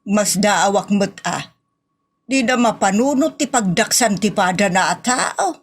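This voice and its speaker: A woman's voice: mid-range voice, lively intonation, unhurried, breathy edge. Woman acting a gossipy Ilocano village wife. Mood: complaining. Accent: Filipino Ilocano. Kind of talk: comic audio drama acting.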